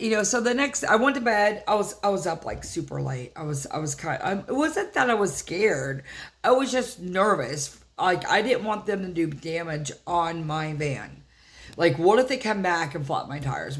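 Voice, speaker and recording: Older woman vlogger, deep rough voice, rambling casual storytelling, lots of fillers. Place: inside a house.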